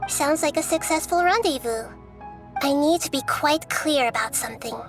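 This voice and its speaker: A woman voicing a young girl deity in a video game, wise and calm, with a soft, measured way of speaking. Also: sits high, music in the background, lightly acted. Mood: solemn.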